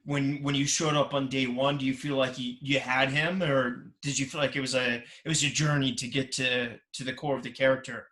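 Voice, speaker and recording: A man's voice: medium pitch, little pitch movement, fairly quick, hardly any pauses, sharp tone. A man hosting a podcast, asking questions eagerly and gushing over his guest. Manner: eager, effusive interviewer. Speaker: podcast host. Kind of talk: casual interview conversation. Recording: echoey room.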